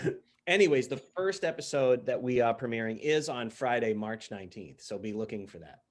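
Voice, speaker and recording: A man's voice: medium pitch, animated, brisk. A man hosting a casual promotional chat, informal and often repeating himself for emphasis. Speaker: interviewer and host. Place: virtual studio online call.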